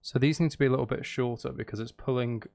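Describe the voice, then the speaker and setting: A man's voice: medium pitch, fairly even intonation, fast talker, vocal fry, dry recording, studio quality. A man streaming casually, thinking aloud and repeating phrases to himself as he works. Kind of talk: casual live-stream commentary while making music. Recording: loud music behind the voice. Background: music being composed, guitar and instruments.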